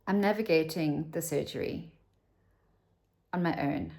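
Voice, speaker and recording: A woman's voice: sits low, measured pace, creaky, a little mellow. A South African woman in her fifties talking candidly to camera at home, rambling and dropping to a whisper for asides. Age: 50s. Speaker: vlogger. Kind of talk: casual talk to camera.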